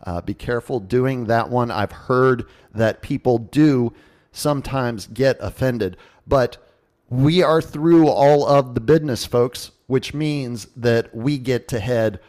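A man's voice: mid-range voice, slow pace, darkish tone. Informal male podcast host. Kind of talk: casual radio show chat.

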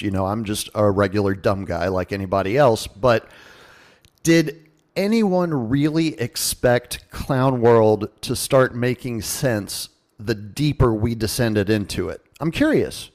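A man's voice: on the low side, lively intonation, measured pace. A man hosting a radio show with a casual monologue, showman-like and given to wry asides.

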